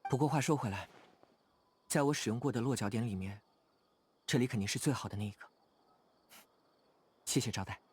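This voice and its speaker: A man's voice: mid-range voice, brisk, pauses often, slightly rough, close to an everyday voice. A man voicing a hardy, easygoing young idealist in a video game, with a casual, frank way of talking. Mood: relaxed, appreciative. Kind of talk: video game character dialogue.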